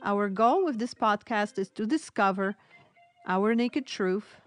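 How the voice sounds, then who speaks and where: A woman with a Polish accent hosts a podcast. Her pitch rises and falls a lot, and the sound is dry and close. She sounds eager and laughs easily. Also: animated, unhurried, no room sound, soft background music. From Poland. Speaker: podcast host, nurse practitioner. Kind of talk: podcast intro and casual interview.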